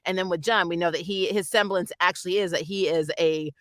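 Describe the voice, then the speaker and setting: A reviewer with a bright, forward voice, recorded close and dry with almost no room sound. The delivery is casual and conversational, with animated emphasis, like a keen fan hosting an episode review. The pitch sits in a middle range. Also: a little fast, dry recording. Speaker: host of an anime review channel. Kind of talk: casual spoiler review chat.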